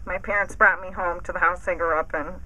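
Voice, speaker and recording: Earnest woman giving bright heartfelt remarks. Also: fairly low pitch, steady tone, fairly quick, low-quality recording. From Holland, Michigan. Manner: earnest, a little nervous, thankful. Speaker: homeowner speaking at an event. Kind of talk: prepared public remarks. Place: outdoors at a house build site.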